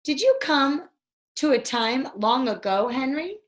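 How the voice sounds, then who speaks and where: An eager, trusting little girl from a children's storybook, acted out by a woman reader. The voice is pitched high and bright with excitement, insistent and fond of animals. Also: measured pace. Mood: gentle, curious.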